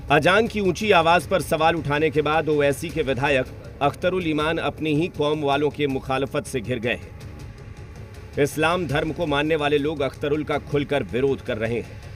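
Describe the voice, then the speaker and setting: An Indian man narrates a scripted news report as a reporter. His voice sits a little high, with music running behind it. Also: normal speed.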